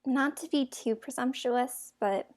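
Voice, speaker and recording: A woman's voice: sits high, a little slow, a little mellow. A woman acting a plucky, kind young fairytale heroine in an audio drama. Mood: relieved, playful.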